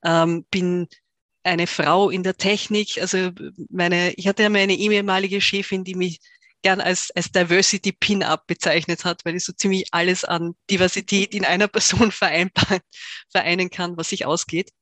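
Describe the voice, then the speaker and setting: A woman's voice: on the low side, steady tone, normal speed, a bit bright, no room sound. Woman, dry podcast voice. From Tyrol, Austria. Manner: thoughtful, many fillers and restarts. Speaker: startup founder, guest. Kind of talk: podcast interview.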